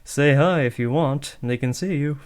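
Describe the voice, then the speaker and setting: A man's voice: mid-range voice, normal speed, natural voice. A young man playing a villain's teenage son in a scripted roleplay, taunting theatrically and slipping into sheepish, casual talk. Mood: sheepish, awkward. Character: teenage villain's son playing at kidnapping, cocky but awkward. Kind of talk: scripted roleplay audio addressed to the listener.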